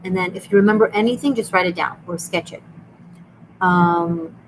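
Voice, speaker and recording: Israeli lady doing a tarot reading, kinda low voice, chatty, restarts a lot, bit of hum in the back. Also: normal speed, low-quality recording. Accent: Israeli Hebrew. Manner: earnest, chatty, with many restarts and fillers. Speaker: tarot reader and host. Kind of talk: live stream reading for viewers.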